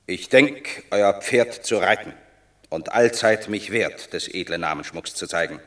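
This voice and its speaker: An actor playing a proud, gruffly modest Roman war hero in a classical verse radio drama, terse and reluctant. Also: male voice, sits low, unhurried, a bit bright. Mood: stiff gratitude.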